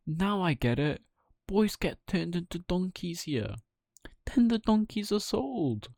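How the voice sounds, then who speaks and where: A man's voice: high-pitched, very animated, takes it slowly, creaky, a little mellow, no room sound, studio quality, voice pushed up, heavily acted. A man voicing a small, anxious talking cricket in a children's storybook, urgent and exclamatory. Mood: urgent, worried.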